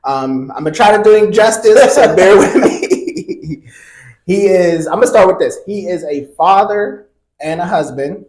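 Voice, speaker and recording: A man hosting a podcast, relaxed and talkative, with plenty of ums and restarts. His voice sits a little high for a man. The sound bounces around an echoey room. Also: a little slow. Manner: relaxed, chatty, many ums and repeated words. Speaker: podcast host. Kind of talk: casual podcast interview.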